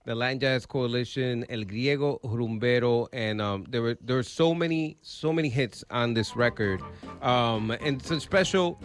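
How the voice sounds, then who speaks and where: A man's voice: medium pitch, moderate pace, faint music behind. A man hosting a radio show, talking casually and rambling a bit with fillers. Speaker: radio host.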